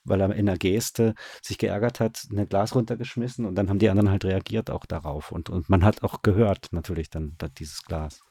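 A man's voice: sits low, steady tone, brisk, gravelly, few pauses, mellow, slightly rough, no room sound, studio quality. A man explaining his work as a director in a casual interview, searching for words and circling back over phrases. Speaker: radio drama director, interview guest.

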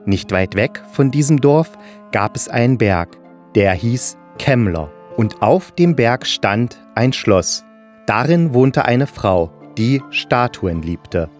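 A man's voice: medium pitch, animated, takes it slowly. Casting: male storyteller for kids' audio play, patient and explanatory, faint music behind. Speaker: narrator. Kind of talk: children's fairy-tale storytelling.

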